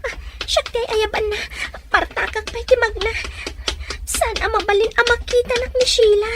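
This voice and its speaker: A woman's voice: very high-pitched, steady tone, normal speed, very noisy. An actress playing a fretful young girl in a serial audio drama. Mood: anxious.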